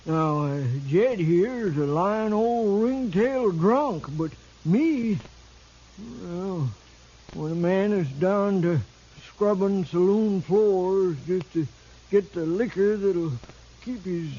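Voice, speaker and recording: A shaky old American drunkard from a Western radio play. His voice sits high for a man and moves slowly, stumbling and rambling in a folksy drawl. The 1950s recording is dull and hissy. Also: mellow. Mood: humble, rambling. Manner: halting, stammering, folksy drawl. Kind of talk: 1950s radio drama acting.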